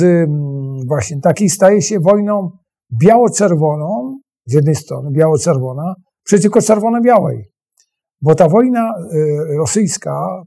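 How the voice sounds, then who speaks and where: A male history professor explaining as an interview guest, halting and repeating phrases for emphasis. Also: fairly high pitch, warm tone.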